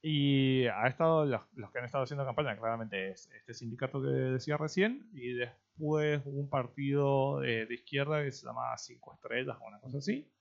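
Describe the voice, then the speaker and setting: A man chatting casually as a podcast co-host, thinking aloud in a halting way. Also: medium pitch, gravelly, highs cut off. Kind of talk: casual conversational chat.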